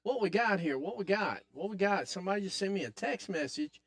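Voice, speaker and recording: A man with a raspy voice hosting a live wrestling watch-along podcast, excitedly calling the action and chatting with viewers. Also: high voice, a little fast. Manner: excitable, hypes up the action, talks to chat. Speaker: podcast host.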